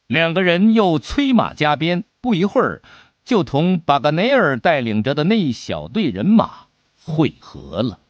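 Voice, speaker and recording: Slow, highly animated male audiobook narrator. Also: dry recording, muffled recording. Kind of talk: dramatic scripted storytelling.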